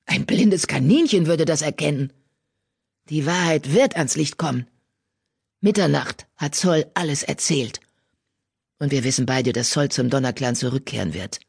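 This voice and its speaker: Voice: expressive, moderate pace, vocal fry, slightly rough. A narrator voicing a fierce old female cat, a bitter former healer who accuses in a growl. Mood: grim. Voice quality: low growl, hissing. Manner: menacing, accusatory, grim.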